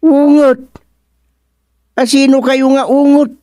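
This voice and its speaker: A man playing a flustered, muddled senior village councilman in an Ilocano radio comedy drama, halting and muttering with many exclamations and an Ilocano accent. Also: extremely high pitch, little pitch movement, dark tone, no echo, clean studio sound. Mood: bewildered, fretful.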